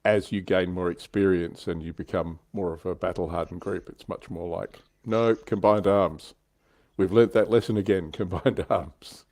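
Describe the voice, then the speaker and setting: A man's voice: deep voice, fairly even intonation, normal speed, a little mellow, no room sound. A man co-hosting a casual livestream about tabletop wargaming, explaining things in a conversational way. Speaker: livestream co-host.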